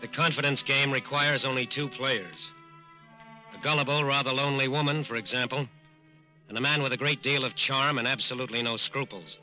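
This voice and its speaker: A man narrating an old radio crime drama in a measured, dramatic, sardonic way. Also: medium pitch. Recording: muffled old radio recording with music.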